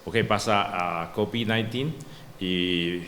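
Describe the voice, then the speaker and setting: A man from Taiwan giving a conference talk in halting non-native Spanish, with many broken-off words and restarts. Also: on the low side, a bit bright. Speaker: panel speaker, researcher. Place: conference hall. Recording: long hall reverb, faint room noise.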